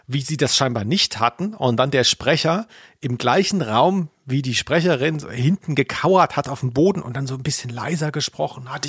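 Creaky-voiced guy on a podcast, blunt and opinionated, casual chat, really dry close sound. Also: medium pitch, no echo. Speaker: podcast host.

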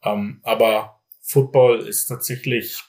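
A man's voice: on the low side, steady tone, slow, darkish tone. A man from Bavaria, a football team's general manager, talking casually in a podcast and rambling with many fillers and restarts.

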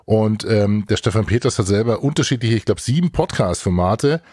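Man, podcast co-host, lowish voice, casual chat, joking and teasing, dry sound. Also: lively intonation, a little fast, a little mellow, breathy edge, no room sound.

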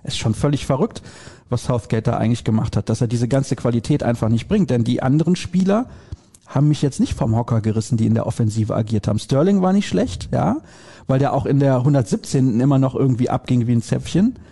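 Gravelly-voiced opinionated German man. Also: medium pitch, quick pace. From Solingen, Germany. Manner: opinionated, animated, rhetorical questions. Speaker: podcast host. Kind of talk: casual football podcast chat.

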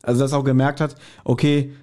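A man's voice: mid-range voice, level tone, fast, mellow. A man co-hosting a podcast, chatting casually and colloquially as he retells and discusses a story, slipping into quoted asides. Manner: colloquial, acts out characters' thoughts in quoted asides. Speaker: podcast co-host. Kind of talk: casual chat, retelling and discussing an audio drama episode.

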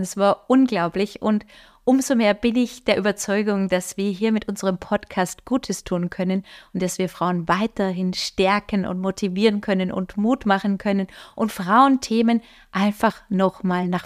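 A woman's voice: mid-range voice, moderate pace. A woman co-hosting a podcast in a studio, chatting enthusiastically and laughing often. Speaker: podcast co-host; photographer and coach. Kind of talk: casual podcast chat. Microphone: podcast microphone.

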